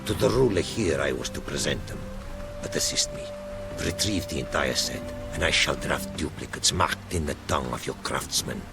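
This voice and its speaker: A proud, courtly explorer from a fantasy game. His voice is low and creaky, with sweeping melody, and he speaks in ornate, backwards-turned phrases. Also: expressive, moderate pace, over music, heavily acted. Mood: formal, earnest. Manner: formal, inverted, poetic phrasing. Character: courtly, philosophical Ophiri merchant explorer, proud and gracious. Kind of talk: video game character acting.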